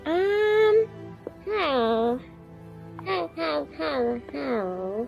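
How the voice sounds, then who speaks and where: A woman's voice: high voice, expressive, drawn-out words, gravelly, darkish tone, highs cut off, soft background music. A woman playing a tabletop roleplaying game, casually improvising and thinking aloud in a rambling way.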